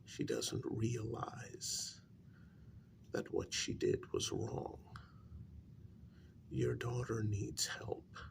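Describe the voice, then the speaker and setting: Very slow, gravelly soft-spoken man. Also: mid-range voice, level tone. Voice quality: breathy half-whisper, gravelly. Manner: deliberate, measured, halting phrases. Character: calm, grave male psychologist and spiritualist counselling a parent. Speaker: ASMR role-play performer. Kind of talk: soft-spoken ASMR role-play, acted consultation.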